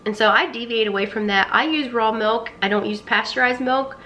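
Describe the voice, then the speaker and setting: A woman's voice: fairly high pitch, brisk, sharp tone, slight background noise. Sniffly woman vlogging from her kitchen. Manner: chatty, conversational. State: hoarse and sniffly from a cold. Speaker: gut health vlogger. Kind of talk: casual recipe vlog to camera. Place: home kitchen.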